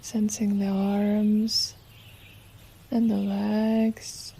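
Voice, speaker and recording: A woman leading a guided relaxation meditation as a yoga nidra teacher, with soothing, unhurried, gentle instruction. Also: mid-range voice, level tone, gravelly, breathy edge. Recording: nature ambience with birdsong behind. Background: birdsong and nature sounds.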